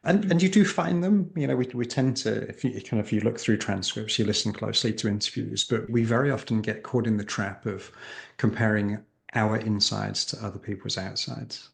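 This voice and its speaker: A man with a creaky voice speaking as an interview guest and coach, explaining emphatically with rhetorical questions and acted-out examples. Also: lowish voice, normal speed. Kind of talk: animated interview conversation, explaining.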